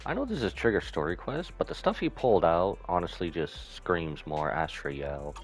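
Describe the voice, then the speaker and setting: A man's voice: low-pitched, animated, normal speed, faint music behind. A man giving casual, slangy live game commentary with skeptical asides. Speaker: game streamer.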